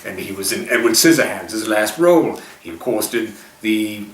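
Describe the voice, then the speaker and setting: A man's voice: on the low side, lively intonation, moderate pace. A man hosting a retro TV show, speaking to the audience and sharing trivia about old films. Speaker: host of a retro TV and movie show. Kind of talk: hosted introduction with film trivia. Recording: echoey, noisy room, far from mic.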